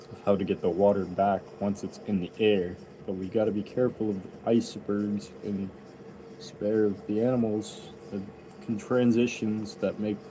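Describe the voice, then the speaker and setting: Man rambling flatly over noise. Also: fairly low pitch. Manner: rambling, loose, offhand. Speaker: rapper. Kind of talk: casual rambling chat to viewers.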